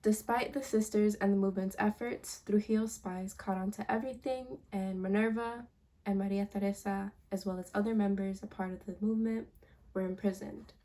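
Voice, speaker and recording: A young woman giving a class presentation over slides, speaking casually with some halting and fillers. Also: medium pitch, steady tone, mellow. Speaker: student presenter.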